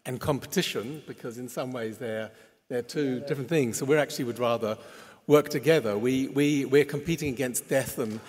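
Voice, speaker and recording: A man sits on a panel as a clinician and speaks in a halting way, restarting and repeating words as he thinks. His mid-range voice carries a long reverb from the hall. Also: medium pitch, a little mellow. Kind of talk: panel discussion.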